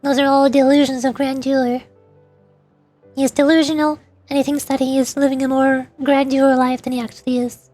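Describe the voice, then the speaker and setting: A woman's voice: high-pitched, flat delivery, normal speed, warm tone. A young woman streamer chatting casually about games, rambling with many fillers. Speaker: vtuber streamer. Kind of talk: casual game commentary. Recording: faint music behind.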